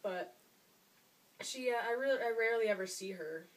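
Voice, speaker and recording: A woman's voice: a little high, moderate pace, a little hiss or hum. A woman in her twenties from Michigan, talking about a roommate in a hesitant, musing way. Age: 20s. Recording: echoey room, distant mic.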